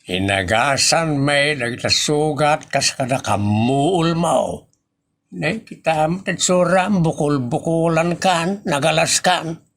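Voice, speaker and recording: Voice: mid-range voice, slow pace, a bit bright. A performer with an Ilocano accent playing a nagging, moralizing villager in a comedy audio drama, speaking in an admonishing way. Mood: reproachful.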